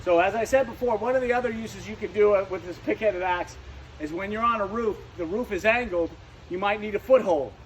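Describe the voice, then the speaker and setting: Guy with kinda high voice showing how to use gear, repeats himself, some noise behind. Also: brisk, poor audio. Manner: repeats and restarts phrases as he explains. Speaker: firefighting instructor. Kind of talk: hands-on demonstration, unscripted teaching.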